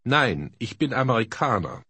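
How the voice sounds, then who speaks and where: A man slowly modeling phrases for learners to repeat in a course recording. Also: medium pitch, big pitch swings, bright, forceful tone, slightly rough, dry recording. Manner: slow, carefully articulated. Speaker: native model speaker. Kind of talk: slow drill repetition. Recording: dry studio.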